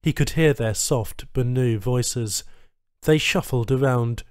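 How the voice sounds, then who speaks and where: Dry, mid-pitched male audiobook narrator. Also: slow, darkish tone, dry recording. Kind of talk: scripted story narration.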